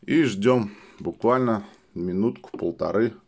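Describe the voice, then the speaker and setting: A man with a slightly hoarse voice from a cold, chatting casually while demonstrating a product at a table indoors and leaning on filler phrases. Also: fairly low pitch, measured pace, darkish tone, slight background noise. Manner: chatty, keeps saying "let's say" as filler. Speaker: product reviewer. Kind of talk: casual how-to demo.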